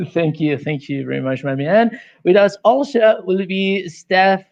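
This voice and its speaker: A Colombian man with a Spanish accent moderating an online panel in halting English. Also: sits high, vocal fry, darkish tone, no echo, dull audio. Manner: halting second-language English. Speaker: panel moderator, science fiction editor. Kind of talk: hosting an online panel discussion.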